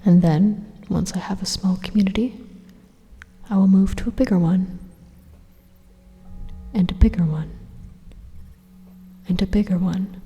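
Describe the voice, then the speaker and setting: A woman voicing a coolly persuasive evil robot supercomputer in a soft, half-whispered ASMR monologue, speaking in a measured and menacingly calm way. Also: medium pitch, slightly rough. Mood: calmly persuasive. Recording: long reverberant echo.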